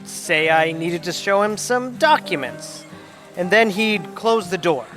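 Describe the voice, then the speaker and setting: An actor playing a whiny, exhausted, melodramatic junior attorney in an audio theatre comedy. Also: male voice, high-pitched, lively intonation, a little slow, a bit bright, faint music behind. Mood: gleeful plotting. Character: whiny, exhausted, overdramatic male junior attorney plotting murder.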